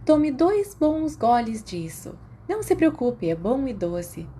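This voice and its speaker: A woman voicing a kindly, concerned school nurse in an audiobook, speaking in a gentle, soothing, coaxing way. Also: fairly high pitch, big pitch swings, slow, slight background noise, pitched above the performer's own voice.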